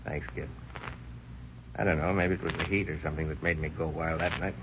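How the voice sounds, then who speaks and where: An actor playing a gruff, menacing husband in a 1930s radio drama. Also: male voice, a bass voice, fairly even intonation, fairly quick. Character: menacing bullying husband, middle-aged man. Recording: dull lo-fi old radio recording with faint hiss.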